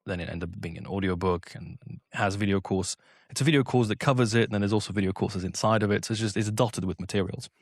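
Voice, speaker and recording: Low-voiced Slovenian man, dry close sound. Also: vocal fry, no room sound. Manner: animated, talks in quick bursts with acted-out quotes. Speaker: English accent coach. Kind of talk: casual interview talk.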